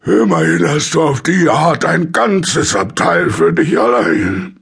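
A man voicing a calm, kindly, grandfatherly old train conductor in a children's story. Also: fairly high pitch, takes it slowly, creaky, slightly rough, voice pushed up, heavily acted. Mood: calm, amused.

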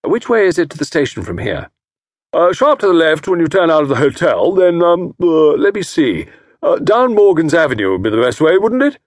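A man reading aloud, voicing a loud, jovial middle-aged man who booms and laughs. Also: sing-song, a little fast, no room sound, studio quality. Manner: booming, laughing, hearty.

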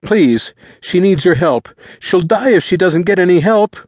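A man's voice: a little high, very animated, moderate pace, dry recording, pitched above the performer's own voice. A man voicing a frantic, terrified teenage boy who pleads urgently for help in a story. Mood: desperate.

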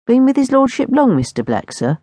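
Woman doing a young, nosy footman with rough servant speech, pitched up. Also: big pitch swings, brisk, dark tone, no room sound. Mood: curious. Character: young male footman, curious and gossipy.

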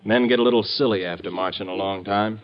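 An actor playing an easygoing, courteous, folksy army sergeant in a classic radio drama. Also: male voice, fairly low pitch, quick pace, lightly acted. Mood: modest, good-natured. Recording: noisy, dull vintage radio recording.

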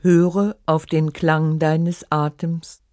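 A meditation guide reading a scripted relaxation in short, measured, instructive phrases. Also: medium pitch, little pitch movement, a little mellow, dry recording, studio quality. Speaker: yoga nidra meditation guide.

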